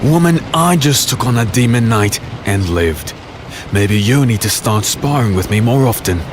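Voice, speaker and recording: A man playing a gruff but caring battle-worn warrior, narrating and speaking in a dark fantasy audio drama. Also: mid-range voice, expressive, normal speed, noisy background, natural voice. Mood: wry, tender. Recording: loud thunderstorm and rain effects behind.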